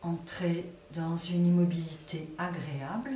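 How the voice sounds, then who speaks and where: A woman leading a guided relaxation as a yoga nidra teacher, speaking softly and unhurriedly with long pauses between phrases. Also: on the low side, steady tone. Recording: roomy echo, distant mic, faint noise.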